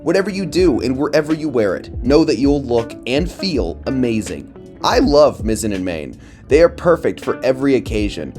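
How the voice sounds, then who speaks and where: Male announcer for a scripted ad, slightly low voice, animated intonation. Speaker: advertisement announcer. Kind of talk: scripted promotional read. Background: guitar music.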